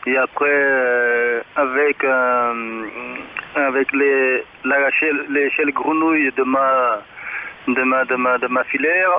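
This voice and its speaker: A man chatting casually over amateur radio in a halting way, often repeating words. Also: medium pitch, fairly even intonation. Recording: tinny, phone-like radio link with hiss.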